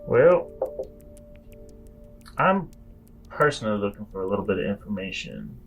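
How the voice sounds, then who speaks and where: A man's voice: lowish voice, sing-song, normal speed, vocal fry, faint music behind. A man playing a spacer mercenary in a tabletop game, haggling politely and a little tentatively. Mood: cautious, bargaining. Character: bargaining male spacer mercenary named Clive.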